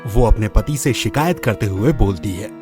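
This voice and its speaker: Animated male storyteller over music. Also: on the low side, normal speed. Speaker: story narrator. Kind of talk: expressive scripted storytelling narration. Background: background music.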